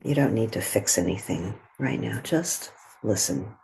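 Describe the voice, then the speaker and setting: A woman leading a guided meditation in a gentle, soothing way, with a soft, breathy voice and long pauses between short phrases. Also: a bass voice, animated, a little hiss or hum, poor audio.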